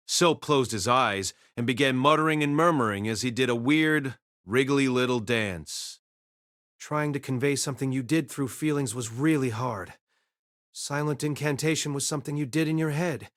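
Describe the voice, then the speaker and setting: A man's voice: medium pitch, moderate pace, fairly bright tone, no room sound, studio quality, natural voice. A man playing a wry, self-deprecating reincarnated boy mage, narrating his own life in a conversational audiobook style. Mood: wry narration. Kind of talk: first-person audiobook narration with acted dialogue.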